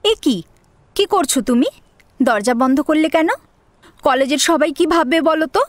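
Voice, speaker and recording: A woman's voice: sits high, animated, measured pace, no echo. A woman playing an anxious, proper young college student in love, hesitant and pleading, in an audio story. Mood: shocked, indignant. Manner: hesitant, pleading, easily scandalised. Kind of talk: melodramatic audio story acting.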